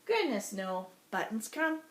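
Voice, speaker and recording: Animated high-pitched woman reading to children. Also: unhurried. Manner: sing-song, expressive, asks the listeners questions. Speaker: kindergarten teacher reading a storybook. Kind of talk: animated read-aloud for young children. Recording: roomy echo with faint background noise.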